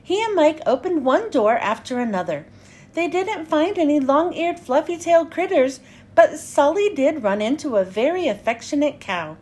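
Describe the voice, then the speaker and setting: A woman reading a children's storybook aloud as host, with animated, clearly enunciated storytelling. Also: high voice, moderate pace. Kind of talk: expressive storybook narration for young children.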